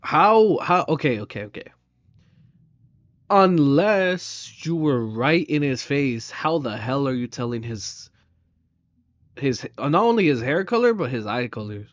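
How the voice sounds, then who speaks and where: A man's voice: mid-range voice, expressive, measured pace, no echo. A man giving casual, slangy reaction commentary, incredulous and prone to swearing. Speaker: reaction video host. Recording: dry, slightly dull microphone sound.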